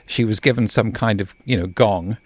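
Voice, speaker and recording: A man's voice: lowish voice, moderate pace, dry recording. A man hosting a radio interview, chatting in a conversational way. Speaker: radio host. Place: radio studio.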